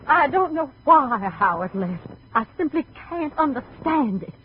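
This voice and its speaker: A woman's voice: high-pitched, sing-song, measured pace. A woman playing an anxious, possessive middle-aged mother, fretful and insistent, in 1950s radio drama style. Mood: wounded, fretful. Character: possessive, anxious, self-pitying wealthy mother of grown son. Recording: dull, noisy 1950 radio recording.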